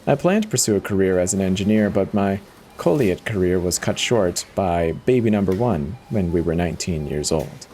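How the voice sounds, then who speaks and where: A man's voice: fairly low pitch, darkish tone, slight background noise. A man giving a dramatic first-person reading of a horror story as its narrator.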